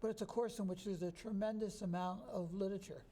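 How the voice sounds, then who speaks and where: A man in his sixties from New Jersey, a history professor answering interview questions in a relaxed, thoughtful way. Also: high voice, fast. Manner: relaxed, thoughtful, chuckles easily.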